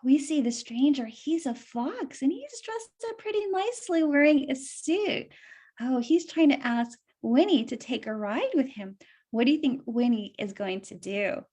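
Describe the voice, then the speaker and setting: A woman with a high voice tells a children's story aloud. She stops to explain things in simple words and asks her young listeners questions. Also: animated, unhurried, warm tone, slightly rough.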